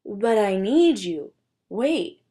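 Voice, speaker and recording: Woman acting a slow, slimy lizard villain. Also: mid-range voice, very animated, warm tone, breathy edge, full character voice. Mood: slimy, wooing. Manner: hissing and spitting, slimy. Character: oily creeping male lizard suitor, cold-blooded villain.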